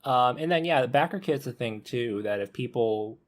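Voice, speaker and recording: A man's voice: mid-range voice, lively intonation, normal speed. A man talking casually as a panelist in a podcast discussion.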